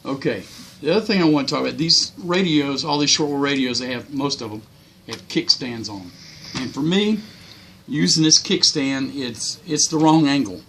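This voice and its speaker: A man's voice: mid-range voice, normal speed, fairly bright tone, a little hiss or hum. An older man giving casual, unscripted hobby tips in a folksy, plain-spoken, rambling way. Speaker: hobbyist video host.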